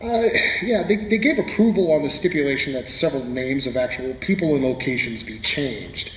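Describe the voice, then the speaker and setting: A man's voice: medium pitch, a little fast, close to an everyday voice. Man playing an erudite writer, echoey hall. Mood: wry, modest. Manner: hesitant starts, literary, storytelling. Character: erudite, wry male radio playwright being interviewed. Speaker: interview guest. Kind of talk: scripted interview reminiscence in radio drama. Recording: echoey hall, faint background noise.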